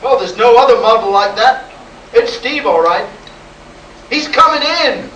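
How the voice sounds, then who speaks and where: An actor in a live amateur radio play, playing an earnest, deferential deputy in flight control. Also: male voice, extremely high pitch, expressive, measured pace, sharp tone, lo-fi sound, a little hiss or hum, heavily acted. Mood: astonished. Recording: distant, echoey live hall recording.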